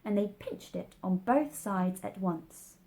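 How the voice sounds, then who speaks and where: Female storybook narrator: slow, measured pace, animated intonation, medium pitch. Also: vocal fry. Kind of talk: scripted storybook narration for children.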